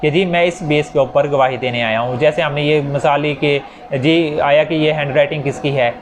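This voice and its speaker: A man's voice: fairly high pitch, fairly even intonation, moderate pace. A man with a Pakistani accent giving an informal law lecture, explaining step by step in a conversational way with rhetorical questions. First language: Urdu. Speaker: law teacher. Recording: noisy recording with background ambience.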